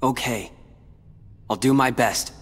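A man's voice: mid-range voice, slow pace, a bit bright, husky, long reverb tail. A young man playing a brooding, wary teenage boy hero in a video game cutscene, terse and troubled. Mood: subdued resolve.

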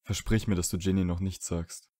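A man's voice: deep voice, level tone, brisk, warm tone, no echo, studio quality, close to an everyday voice. A man playing a troubled, dryly teasing young wizard in an audio drama. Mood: weary, guarded. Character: troubled young wizard, dry and teasing, trying to quit drinking. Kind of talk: fan fiction audio drama dialogue.

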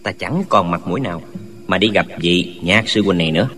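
A man playing a young swordsman and new sect leader in a martial arts audiobook, wry and dutiful, speaking in a measured, courteous way. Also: low voice, sharp tone, a little hiss or hum. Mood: brooding inner thought.

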